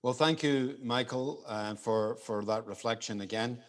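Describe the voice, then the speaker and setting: A man's voice: medium pitch, unhurried. A man moderating an online event in an informal hosting style. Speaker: webinar moderator. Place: studio for online streaming.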